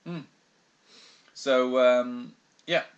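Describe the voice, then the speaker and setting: A man's voice: medium pitch, extremely slow, highs cut off. A British man co-hosting a podcast, talking in a dry, wry and rambling way with lots of fillers. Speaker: podcast co-host. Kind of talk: casual anime discussion.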